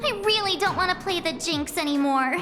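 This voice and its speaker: A woman's voice: extremely high pitch, lively intonation, fairly quick. A woman voicing a small, cute, timid furry assistant creature in a game, with a cutesy, plaintive whine. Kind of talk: game voice acting. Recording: music playing behind.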